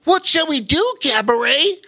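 A storyteller voicing an eager young male otter who calls out in a high, pleading voice. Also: big pitch swings, a little slow, pushed and bright, exaggerated voice. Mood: worried.

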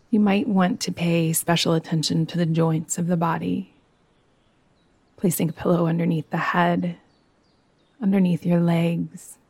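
A woman guiding a yoga nidra meditation in a soothing, gentle, unhurried manner. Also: lowish voice, creaky, slightly rough, no room sound. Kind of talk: guided meditation.

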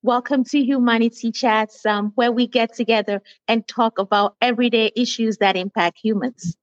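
A woman host in her forties welcomes her guest in a friendly way, stumbling over her words with little fillers. Her voice is fairly high. The sound is dry and dull, with no room at all. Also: fairly even intonation, normal speed, no room sound. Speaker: podcast host. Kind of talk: hosting an interview.

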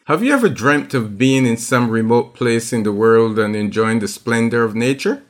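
A man co-hosting a casual travel podcast, easygoing and quick to laugh along. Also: mid-range voice, expressive. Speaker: podcast co-host.

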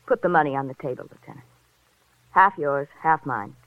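An actress playing a menacing nurse turned blackmailer in a radio noir, with a mocking, mock-polite manner. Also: female voice, low-pitched, normal speed, no echo, phone-quality sound, close to an everyday voice. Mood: cold, taunting. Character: cool, menacing female nurse, a gun-wielding blackmailer. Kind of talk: 1940s radio noir acting. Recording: noisy old radio recording.

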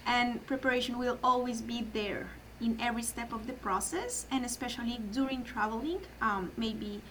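A woman's voice: a little high, moderate pace, a bit bright, a little hiss or hum, rough recording. Colombian woman, earnest and careful. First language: Spanish. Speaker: adoption program guest. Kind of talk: podcast interview.

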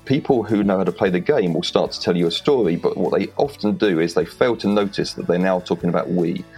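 A Welsh man with a very low voice talks plainly and with confidence as a podcast guest. He gives firm, practical advice, and faint music sits behind him. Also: fast, a little mellow. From Wales. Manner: direct, confident, plain-spoken. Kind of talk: interview advice. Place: home in rural Wales.

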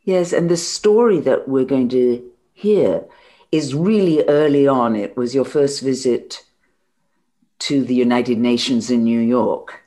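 A woman's voice: very deep voice, a little slow, mellow. A woman interviewer speaking in a conversational way with her guest.

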